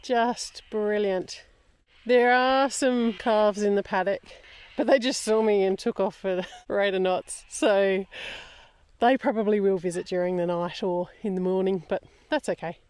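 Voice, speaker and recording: A woman's voice: mid-range voice, steady tone, a little slow, darkish tone. A middle-aged Australian woman chatting casually and cheerfully as she narrates her outdoor vlog. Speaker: solo canoe expedition vlogger. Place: outdoors on a river and riverside campsite. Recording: outdoor camera audio with clear background noise.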